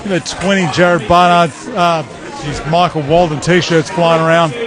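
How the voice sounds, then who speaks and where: A man's voice: a little high, steady tone, unhurried. A man giving informal fight commentary as an event commentator, speaking on a live mic. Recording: live mic amid very loud crowd. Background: loud crowd.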